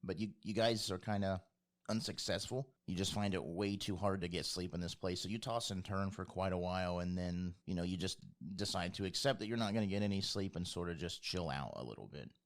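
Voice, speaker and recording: A man with a fairly low voice runs a tabletop role-playing game. He narrates scenes off the cuff, describing things in informal, everyday language. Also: a little fast. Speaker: game master.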